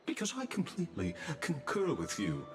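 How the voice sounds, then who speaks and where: A man's voice: on the high side, wildly swooping pitch. A man playing a suave, inscrutable official in a video game, speaking with sly politeness and courtly, theatrical phrasing. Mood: silky approval. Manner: courtly, unhurried, smooth-tongued, theatrical. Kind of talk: dramatic video game voice acting.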